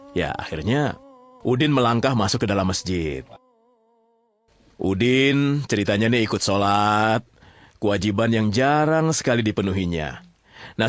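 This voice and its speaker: A man narrating a story in a conversational, colloquial way, often checking in with his listeners. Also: lowish voice. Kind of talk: conversational storytelling in an audio drama.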